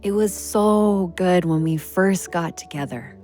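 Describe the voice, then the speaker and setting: A woman playing a wry, affectionate girlfriend in an audio drama, natural and expressive. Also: on the low side, measured pace, faint music behind, close to an everyday voice. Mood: wistful.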